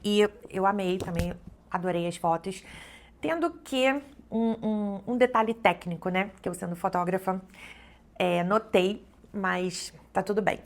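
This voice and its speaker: A middle-aged Brazilian woman, a travel vlogger and photographer, chatting casually to her viewers with lots of little fillers. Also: medium pitch. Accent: Brazilian Portuguese. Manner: chatty, frequent "né" and "então" fillers. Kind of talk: casual chat to camera.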